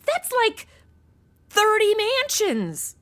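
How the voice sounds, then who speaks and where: A woman's voice: extremely high pitch, huge pitch swings, drawn-out words, a bit bright, exaggerated voice. A young woman playing a flippant, indignant, slangy modern detective's assistant in a comedy audio drama. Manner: indignant, flippant, slangy valley-girl delivery.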